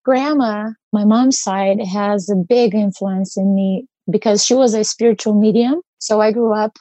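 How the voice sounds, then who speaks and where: A woman's voice: medium pitch, a little slow, warm tone, no room sound. A woman with a Brazilian accent, speaking earnestly as an interview guest and searching for her words as she reflects.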